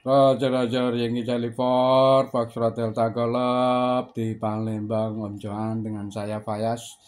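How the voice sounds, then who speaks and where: A man with an average-pitched voice chats as an amateur radio operator. He is polite and conversational, moving between call signs and friendly small talk. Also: monotone, slow, darkish tone. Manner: chatty, polite. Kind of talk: casual ham radio contact.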